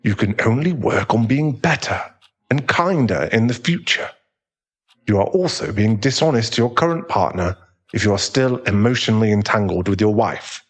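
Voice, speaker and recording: Man acting a calm, measured therapist. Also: lowish voice. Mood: calm, frank. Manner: measured, formal, tactful. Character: calm, frank, professional male therapist. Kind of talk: dramatic audio roleplay acting.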